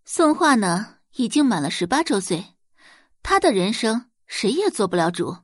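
A woman voicing a polite but unyielding female high school teacher in an audio drama, composed, formal and firm. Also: sing-song, normal speed, breathy edge, dry recording, clean studio sound.

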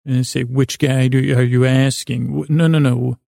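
A man hosting a sleep podcast, meandering through a halting, self-correcting bedtime monologue in a creaky voice. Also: medium pitch, little pitch movement, warm tone, no room sound, clean studio sound.

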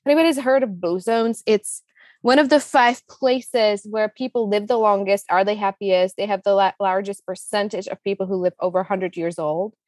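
A woman's voice: mid-range voice, steady tone, moderate pace, darkish tone, dry recording. A woman in her thirties from the Czech Republic with a Czech accent, a business coach telling her story as a guest, enthusiastic and talkative with many fillers. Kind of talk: casual interview storytelling.

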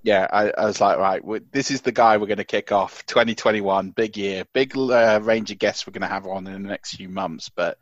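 A man co-hosting a casual fantasy sports chat, loud and chatty, laughing often and rambling a bit. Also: lowish voice, steady tone, moderate pace, hardly any pauses, no room sound. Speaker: podcast co-host. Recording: dull lo-fi dry sound.

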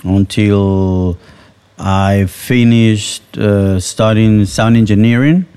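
A man from Venezuela who speaks English as a second language, talking slowly and hesitantly as an interview guest. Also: fairly low pitch, fairly even intonation, mellow, smooth. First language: Spanish. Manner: slow and hesitant, tag questions. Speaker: guest musician and sound engineer. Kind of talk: relaxed interview talk.

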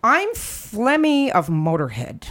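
A woman co-hosting a casual comedy chat, a little phlegmy and hoarse, rambling with lots of fillers. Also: a little high, wildly swooping pitch, slow pace, a bit bright, faint music behind. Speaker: co-host.

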